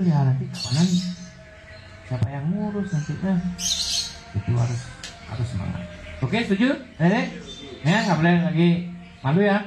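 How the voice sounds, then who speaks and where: A man from Bali with a Balinese accent, giving an informal, encouraging talk to young people as a guest speaker. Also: a little high. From Bali, Indonesia. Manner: encouraging, lively. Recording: echoey room.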